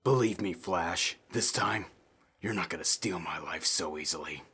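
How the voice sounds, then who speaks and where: A man voicing a cold, vengeful comic-book supervillain in a slow, deliberate, threatening growl. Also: pushed and bright, soft background music. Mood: cold menace. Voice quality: low menacing growl.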